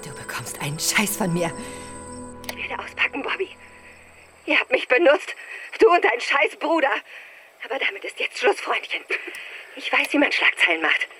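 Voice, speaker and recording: A woman's voice: sits high, sing-song, normal speed, long pauses, bright, forceful tone, soft background music, exaggerated voice. An actress in a German radio drama playing a volatile, wounded female film star, intimate and theatrical. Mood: furious, defiant.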